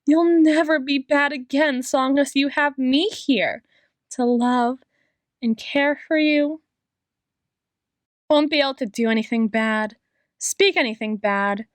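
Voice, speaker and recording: A woman playing an obsessive, possessive female doctor in an ASMR roleplay, sweetly menacing, with a coaxing, theatrical delivery and lingering pauses. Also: sits high, dry recording, clean studio sound.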